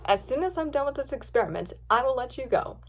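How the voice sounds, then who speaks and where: A woman's voice: high-pitched, lively intonation, fast. A woman voicing a scheming male mad scientist in a read-aloud story, smug, commanding and impatient. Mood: calm menace.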